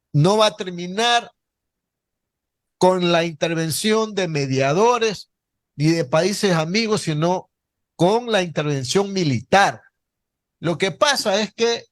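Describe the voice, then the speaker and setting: A man hosting a radio interview, putting formal, pointed questions in a measured way and repeating phrases for emphasis. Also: high voice, dry recording, clean studio sound.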